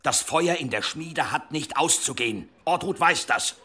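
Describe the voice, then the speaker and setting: Middle-aged male blacksmith, stern, indignant, lively intonation, forceful tone, slightly high, radio play. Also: moderate pace, a little hiss or hum. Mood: irritated. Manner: grumbling, indignant. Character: gruff middle-aged village blacksmith and father, irritable, stern.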